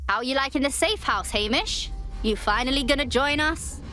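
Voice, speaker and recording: An actress playing a brash, defiant resistance fighter in a game, blunt and challenging. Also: female voice, high-pitched, moderate pace, sharp tone, soft background music. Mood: teasing. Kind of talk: game cutscene acting.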